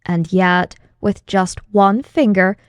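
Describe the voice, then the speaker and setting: A woman reading scripted fantasy narration as an audiobook narrator, in a measured, dramatic storytelling manner. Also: medium pitch, fairly even intonation, clear, resonant tone, no room sound.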